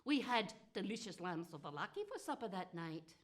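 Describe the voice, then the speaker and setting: A woman's voice: fairly low pitch, very animated, moderate pace. A woman playing a spiteful, gleeful, foul-mouthed witch who tells comic fairy tales in a theatrical, cackling manner. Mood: gleeful, mischievous. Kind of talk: comic storytelling monologue.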